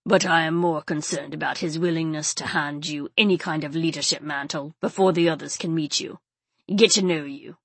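Woman as a low, grim, growling male enforcer. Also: steady tone, breathy edge, no room sound. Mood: grim, protective. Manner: grave, measured. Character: grim, protective male enforcer, restrained anger. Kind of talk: audiobook character acting.

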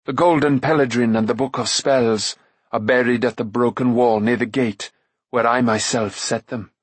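A man's voice: mid-range voice, fairly even intonation, moderate pace, slightly rough, no room sound, natural voice. A man voicing a proud, wrathful warrior prince in an audiobook, with a harsh, cold, ringing and commanding voice. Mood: stern, defiant. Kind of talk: audiobook character voice.

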